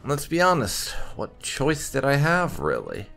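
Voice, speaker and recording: A man giving video game commentary in a deliberate, musing way, sometimes reading dialogue aloud. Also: mid-range voice, wildly swooping pitch, gravelly. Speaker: let's-play gamer. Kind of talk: roleplay gameplay commentary. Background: video game music.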